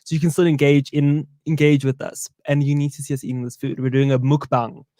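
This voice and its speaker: A man's voice: fairly high pitch, level tone, fairly quick, warm tone, no echo, clean studio sound. A young man from South Africa co-hosting a casual live-stream chat over a meal, playful and talkative. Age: young adult. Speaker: co-host.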